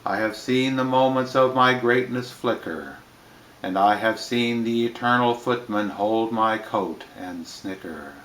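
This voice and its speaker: Man, plain poetry reading, slightly deep, slow measured phrasing, echoing room. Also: fairly bright tone, a little hiss or hum. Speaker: reader of poetry.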